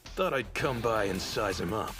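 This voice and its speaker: An actor playing a blunt, cocky teenage martial artist in an anime dub, laid-back and casually condescending. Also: male voice, lowish voice, lively intonation, normal speed, natural voice. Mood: self-assured. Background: music.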